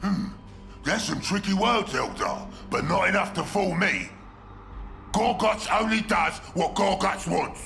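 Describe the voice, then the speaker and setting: A brutish ork warboss from a video game, with a gravelly Cockney bark that swings wildly in pitch. He is blunt, greedy and boisterous, bellowing over music. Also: expressive, a little slow, a bit bright, full character voice. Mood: menacing, suspicious. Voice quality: gruff, gravelly growl. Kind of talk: video game character acting.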